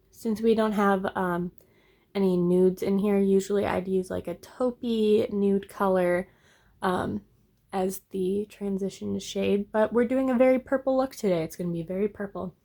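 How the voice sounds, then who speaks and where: A young woman talks through a makeup tutorial in a casual, upbeat way, often trailing off into fillers. Her voice sounds a little hoarse and congested, as if she's coming down with a cold. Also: mid-range voice, a little slow, warm tone. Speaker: beauty vlogger.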